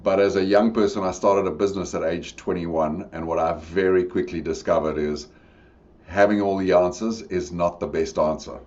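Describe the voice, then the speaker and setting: Podcast guest: deep-voiced South African man, middle-aged, thoughtful explainer, dull lo-fi audio. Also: fairly even intonation, moderate pace, darkish tone. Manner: reflective, expansive explainer. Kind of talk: conversational interview.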